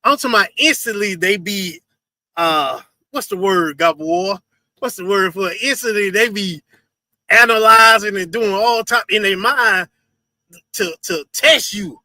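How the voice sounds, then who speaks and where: A man hosting a live stream, reacting to a video with loud, profane, slangy preaching and asking "you understand?" again and again. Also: sits high, unhurried, no echo. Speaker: host of a street preaching talk stream. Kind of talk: casual commentary reacting to a video.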